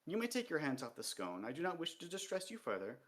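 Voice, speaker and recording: A man reading a calm, sing-song dwarf king. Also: a little high, voice pushed up. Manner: comfortable, sing-song, unhurried. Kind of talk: reading a novel aloud.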